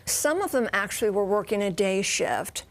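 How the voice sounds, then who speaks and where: A woman's voice: medium pitch, lively intonation, normal speed. American woman correspondent, informal and explanatory. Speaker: transportation correspondent. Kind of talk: live news explainer.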